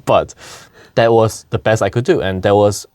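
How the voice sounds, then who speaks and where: A man's voice: on the low side, normal speed, a little mellow, no echo. A young man from South Korea, hosting a casual talk, who laughs often and talks with many fillers and restarts. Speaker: podcast host. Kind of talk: casual conversation.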